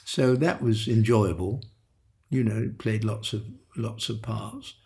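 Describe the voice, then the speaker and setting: An older man, a veteran voice actor, reminiscing in an interview with a halting, repetitive way of talking and soft chuckles. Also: on the low side, gravelly. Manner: halting, repeats words, chuckles softly.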